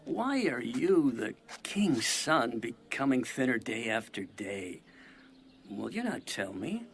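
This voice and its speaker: An actor playing a crafty, scheming friend of a prince, measured and insinuating, in a dramatized audio Bible. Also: male voice, medium pitch, big pitch swings, fairly bright tone, a little hiss or hum, heavily acted. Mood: sly, probing.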